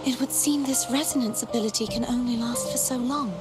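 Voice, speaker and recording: An actress voices a young maiden of a video game, her voice fairly high over prominent music. She is composed and wistful, and phrases things formally, with the measured air of someone long alone. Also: steady tone, close to an everyday voice. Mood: hesitant, searching. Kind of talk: scripted video game dialogue.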